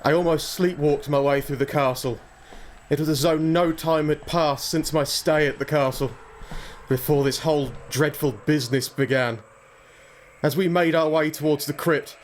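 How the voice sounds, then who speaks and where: A man plays a haunted young husband in a gothic radio play. His voice sits a little high, with an earnest, troubled, formal delivery. Faint noise runs behind it. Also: lightly acted. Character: anxious, haunted young English gentleman husband. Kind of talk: gothic audio drama acting and first-person narration.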